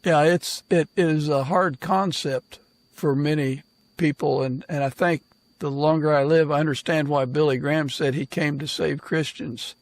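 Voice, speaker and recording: A man hosting a casual interview podcast, speaking in an informal, halting way. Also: fairly high pitch, fairly even intonation, dry recording. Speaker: podcast host and author.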